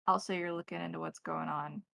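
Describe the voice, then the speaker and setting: A woman voicing a gruff, blunt male police colleague on a phone call in a tabletop roleplaying game. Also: fairly low pitch, fairly even intonation, bright, forceful tone, dry recording, studio quality, exaggerated voice. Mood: guarded, helpful. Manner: swearing, blunt, halting cop talk.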